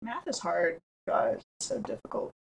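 A woman's voice: on the low side, very animated, moderate pace, vocal fry, heavy background noise. A woman playing a tabletop game, chatting casually with a playful, wry manner as she reacts and describes actions.

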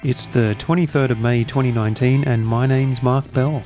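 A man hosting a casual podcast, croaky from a recent cold, with a dry, self-mocking humour. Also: mid-range voice, steady tone, moderate pace, darkish tone, faint music behind. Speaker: podcast host.

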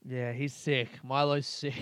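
A man's voice: mid-range voice, slow, clear, resonant tone, no echo. A man hosting a podcast, rambling through a casual comedic monologue and swearing freely while he laughs at his own jokes. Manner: crude, swears a lot, laughs often; animated, rambling storytelling. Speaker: podcast host.

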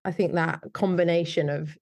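A woman with a British accent and a slightly low voice chats informally as an interview guest. Her speech is loose and conversational, peppered with little everyday filler phrases. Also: steady tone, normal speed, darkish tone. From United Kingdom. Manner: chatty, informal, frequent "like" and "you know". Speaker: wedding photographer, interview guest.

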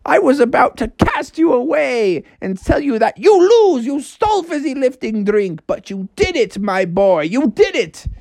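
A man's voice: very high-pitched, big pitch swings, measured pace, vocal fry, hardly any pauses, a little mellow, no echo, pitched above the performer's own voice, exaggerated voice. A man voicing a theatrical talking house in a children's story, a menacing, gleeful riddle master who is grandiose and taunting. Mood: grandly delighted.

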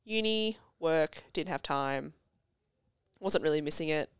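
A young woman with a congested cold, chatting as a podcast guest, self-deprecating and quick to tell stories. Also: sits low, animated, smooth, no room sound, studio quality. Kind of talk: casual chat. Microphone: studio podcast mic.

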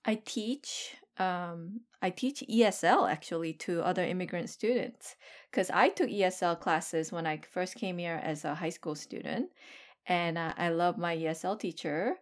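A woman's voice: fairly low pitch, moderate pace. A woman, co-hosting a podcast in casual chat, filling her talk with "like" and "um". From Korea. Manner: chatty, lots of "like" and "um". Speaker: podcast co-host, ESL teacher.

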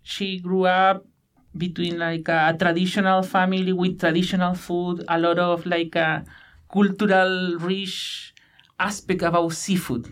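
A man in his thirties from Chile with a Chilean Spanish accent, answering interview questions in halting English with many fillers and restarts. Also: high-pitched, level tone, fairly bright tone, clear, resonant tone. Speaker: guest, PhD student.

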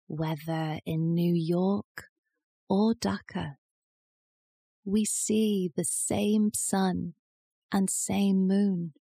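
A woman reads poetry extremely slowly, leaving each phrase space to settle. Her voice is soft-edged and mellow, slightly low in pitch. The sound is dry and close, with no room echo. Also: steady tone, vocal fry, husky. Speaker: poetry reader. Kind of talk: slow, measured poetry recitation.

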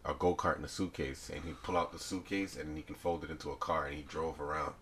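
A man in his late forties with a deep voice, hosting a casual podcast chat in bursts of loud, animated talk. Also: quick pace, slight background noise. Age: 40s. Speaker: podcast host.

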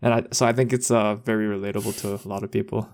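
Young guy w a gravelly voice, rambling in casual chat, lots of likes and ums. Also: lowish voice, little pitch movement, fast talker, darkish tone.

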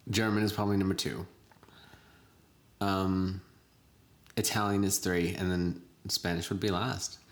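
A young woman in casual chat, lively and giggly, rambling loosely through her points. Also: very deep voice, unhurried, pauses often.

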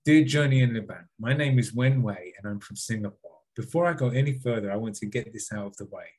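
A man's voice: mid-range voice, quick pace, darkish tone, husky. A man co-hosting a podcast, reading out a listener email and then rambling, hedging and swearing freely in casual chat. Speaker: podcast co-host.

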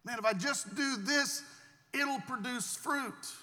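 A man's voice: very high-pitched, slow pace, bright, forceful tone, big-room sound. A man preaching a sermon as pastor, earnest and repeating himself as he builds each point.

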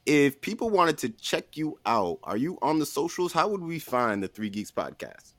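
A man's voice: a little high, sing-song, normal speed, fairly bright tone, no room sound. A man hosting a podcast in a casual, chatty style. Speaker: podcast host.

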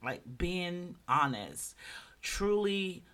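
A man's voice: high-pitched, big pitch swings, very slow, pushed and bright, clean tone. A man hosting a solo podcast, talking in a loose, conversational way and often checking in with his listeners. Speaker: podcast host. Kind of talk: casual solo commentary.

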